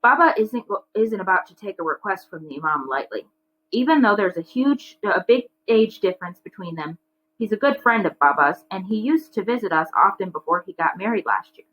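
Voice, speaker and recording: Bright-voiced woman reading a children's story. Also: medium pitch, a little fast, low-quality recording. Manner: animated character dialogue. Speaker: teacher reading a children's novel aloud. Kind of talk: expressive read-aloud of a children's story.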